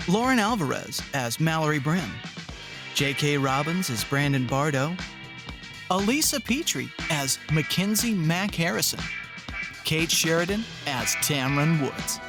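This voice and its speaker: Guy reading podcast credits, voice a bit on the high side, loud guitar music under him. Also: very animated, a little slow. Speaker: credits announcer. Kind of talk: scripted credits read.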